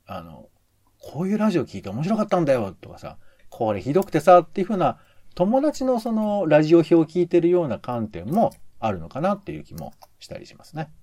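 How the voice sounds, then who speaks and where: A man's voice: on the high side, sing-song, fairly quick, warm tone, dry recording. A man co-hosting a podcast, explaining in casual chat in a hedging, roundabout way. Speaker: podcast co-host commenting on radio shows.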